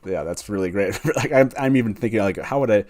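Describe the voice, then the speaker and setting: A man's voice: fairly low pitch, fast talker, a little mellow. A man hosting a fan show in casual live chat, informal and self-deprecating as he talks. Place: online group video call, live stream.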